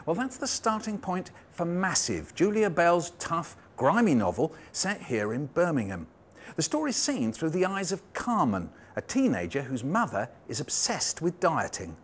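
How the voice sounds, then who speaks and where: A woman's voice: low-pitched, big pitch swings, unhurried, slightly rough. A woman presenting a programme, narrating from a script and putting questions as an interviewer.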